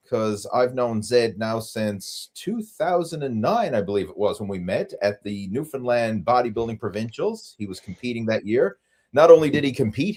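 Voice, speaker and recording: A man's voice: medium pitch, a little mellow. Easygoing male podcast host. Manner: easygoing, friendly, with fillers. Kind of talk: casual interview talk.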